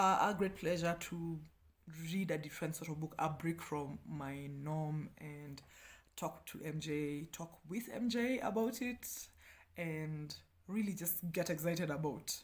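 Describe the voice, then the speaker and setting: A woman's voice: low-pitched, lively intonation, measured pace. A woman in her thirties with a Kenyan accent, talking casually and animatedly as a book reviewer, candid and self-deprecating with playful asides. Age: 30s.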